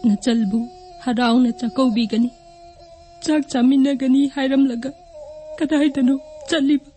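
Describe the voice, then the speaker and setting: A woman's voice: high voice, steady tone, racing, dark tone, lightly acted. A woman acting in a radio drama as a bereaved younger sister, plaintive and mournful. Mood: tearful longing. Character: sorrowful bereaved younger sister mourning her elder brother. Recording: faint music behind the voice.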